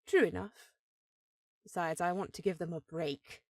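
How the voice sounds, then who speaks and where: A woman's voice: fairly low pitch, steady tone, a little slow, no echo. A woman playing an affectionate, teasing elf headmistress in a scripted fantasy audio roleplay, composed and slightly formal. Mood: assured, caring. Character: powerful elf sorceress sister, affectionate, teasing, dutiful headmistress.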